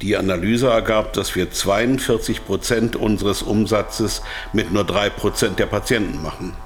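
A man's voice: on the low side, fairly even intonation, moderate pace, close to an everyday voice. An actor playing a self-satisfied, evasive male pharmaceutical executive held captive in a radio drama, with a pompous, lecturing manner. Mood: smug, lecturing.

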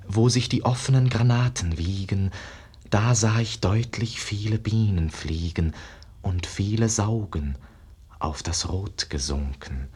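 Husky, slow, dreamy young disciple. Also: male voice, sits low, warm tone, full character voice. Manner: slow, lyrical, solemn declamation of verse. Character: young male disciple of a dying painter, dreamy and rapturous. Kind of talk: 1950s German radio verse drama, declaimed. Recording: muffled-edged 1950s radio play recording.

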